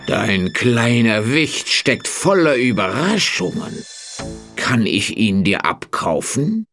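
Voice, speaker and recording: Storybook role: gullible, easily riled farmer husband, played by a male reader, slow and theatrical with big pitch swings. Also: sing-song, over music. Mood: eager, pleading. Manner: emphatic, drawn-out, theatrical. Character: gullible, blustering peasant husband, easily outraged.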